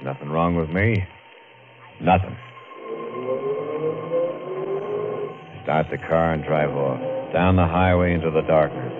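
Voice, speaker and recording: Deep-voiced haunted driver, noisy old radio. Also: male voice. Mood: brooding, weary. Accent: American. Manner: halting, stammering, muttering colloquial speech. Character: haunted, nervous, guilt-ridden male driver turned killer. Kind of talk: 1950s radio thriller acting, part narration. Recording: noisy, tinny 1950s radio recording.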